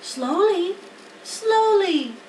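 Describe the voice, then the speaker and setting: A wise female spider from a storybook, acted by a woman, speaks slowly in a high, motherly voice. She is cool, reassuring and gently firm, heard in a roomy space. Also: expressive, heavily acted. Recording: roomy, distant mic, faint background noise.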